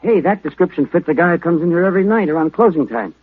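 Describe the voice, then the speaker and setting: A man's voice: a little high, animated, quick pace, husky. A man acting an eager, deferential health club attendant in old radio style. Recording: thin, muffled vintage radio recording.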